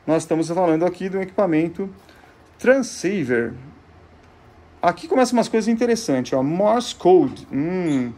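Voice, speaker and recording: A man's voice: high-pitched, warm tone. A man with a Brazilian Portuguese accent presenting in an informal, didactic way, often asking himself questions and then answering them. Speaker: presenter of a show on vintage gadgets. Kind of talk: informal explanatory demonstration.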